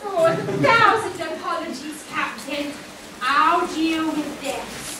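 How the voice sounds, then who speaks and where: A woman's voice: very high voice, lively intonation, slow, heavy background noise, full character voice. A woman playing an eager pirate underling who placates the boss in a youth stage pantomime, with projected delivery. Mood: placating. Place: stage in an echoey hall, far microphone. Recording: echoey distant stage recording, music behind.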